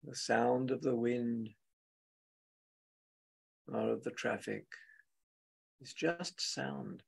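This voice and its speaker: Slow, very gravelly man guiding meditation. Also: medium pitch. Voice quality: husky, gravelly. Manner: gentle, unhurried, with long pauses between phrases. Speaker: meditation teacher. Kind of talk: guided meditation, spoken softly and unscripted.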